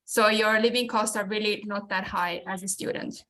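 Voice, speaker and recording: A woman's voice: mid-range voice, fairly even intonation, normal speed, a bit bright. A woman presenting an informational webinar over a video call, explaining things with frequent restarts and fillers.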